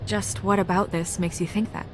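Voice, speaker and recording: An actress playing a composed, dutiful woman official in a video game, measured, businesslike and firm. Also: mid-range voice, fairly even intonation, noisy background, lightly acted. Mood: serious, measured. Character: composed, dutiful, practical woman official in dark fairy-tale town. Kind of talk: naturalistic video game cutscene acting.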